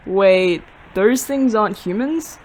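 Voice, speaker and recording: An actor playing a grumpy, sarcastic young demon, grumbling and deadpan in a fiction podcast. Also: medium pitch, slow pace, slight background noise. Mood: naive, dry.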